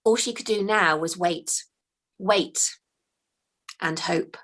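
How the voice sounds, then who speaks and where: A woman's voice: on the low side, slow, fairly bright tone. Woman author reading her storybook aloud. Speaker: children's author reading her own book.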